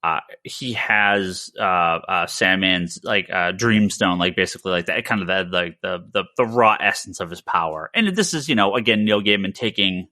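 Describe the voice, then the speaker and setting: A man co-hosting a comics podcast, explaining things casually and emphatically with halting starts and repeated words. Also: low-pitched, pushed and bright, no room sound, studio quality.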